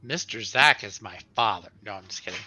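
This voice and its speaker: A man's voice: fairly low pitch, fairly quick, bright, forceful tone, poor audio. A man chatting casually and enthusiastically as he reviews on a livestream show, informal and unscripted.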